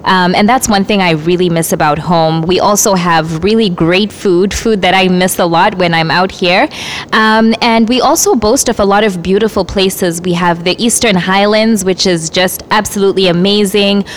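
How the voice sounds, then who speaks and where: A woman's voice: mid-range voice, steady tone, slight background noise. A young woman from Zimbabwe, a spoken word artist, introducing herself earnestly on community radio with many fillers. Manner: earnest, measured, with frequent fillers.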